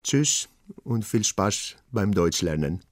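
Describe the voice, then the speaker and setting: A middle-aged man from Greece, a German teacher, speaking German with a Greek accent and pausing often with fillers as he talks about himself. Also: fairly low pitch, animated, over loud music. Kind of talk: personal self-portrait talk.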